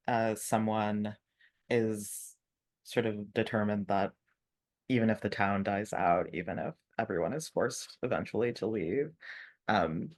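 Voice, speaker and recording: A man playing a tabletop game, improvising a scene description in halting, thoughtful phrases. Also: fairly low pitch.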